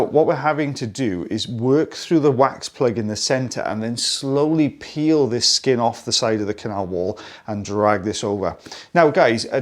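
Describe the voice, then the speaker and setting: A man with a Welsh accent, an audiologist narrating an ear procedure in a friendly, explanatory way and turning aside to talk to viewers. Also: lowish voice. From South Wales. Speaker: audiologist presenting ear wax removal.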